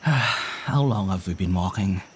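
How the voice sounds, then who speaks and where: An actor playing a weary, gentle peacemaker traveling on foot in an audio drama, reasonable, hesitant and softly reassuring. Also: male voice, deep voice, measured pace, slight background noise. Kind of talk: naturalistic audio drama acting.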